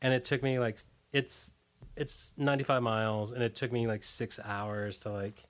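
A middle-aged American man being interviewed, chatting casually in a halting, informal way with "like" sprinkled through his sentences. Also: medium pitch, flat delivery. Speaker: interview guest, musician.

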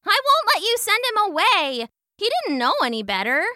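A soft-hearted little girl, earnest and sweet, as a woman storyteller voices her. The voice sits very high and sounds bright. It is dry and close. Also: huge pitch swings, normal speed, no echo, full character voice. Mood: pleading earnest.

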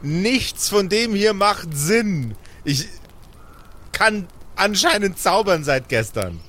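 A man's voice: high-pitched, big pitch swings, slow, bright, forceful tone. A man playing in an improvised tabletop role-play, speaking casual, slangy, sweary dialogue with emphasis.

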